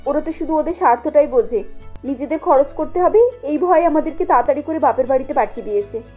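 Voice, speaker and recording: A woman voicing an indignant, bitter young pregnant daughter in a cartoon story, scolding with sharp rhetorical questions. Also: high voice, fast talker, lo-fi sound, soft background music, lightly acted. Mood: bitter, aggrieved.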